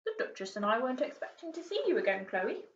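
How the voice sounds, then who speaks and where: A woman's voice: a little high, big pitch swings, quick pace. A woman voicing a polite, genteel old homeless man from a storybook, with courteous, formal manners. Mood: genteel, kindly. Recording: muffled, echoey room, distant mic.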